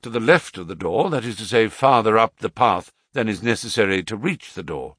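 A man reading aloud, playing a calm, precise male detective who asks measured, probing questions. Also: fairly low pitch, husky, dry recording, studio quality. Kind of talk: audiobook reading.

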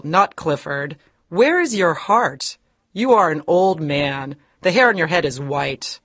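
A man's voice: a little high, husky, pitched above the performer's own voice. A man putting on a bitter old woman. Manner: pleading, accusing. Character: bitter old woman defending her brother. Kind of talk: audiobook character voice.

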